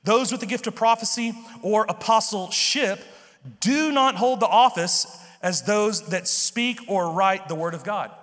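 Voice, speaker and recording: High-voiced emphatic male pastor preaching. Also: unhurried, fairly bright tone. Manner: emphatic, conversational, repeats words, frequent "okay?" and "right?". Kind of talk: sermon. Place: large church stage with purple lights. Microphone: handheld mic. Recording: long hall reverb.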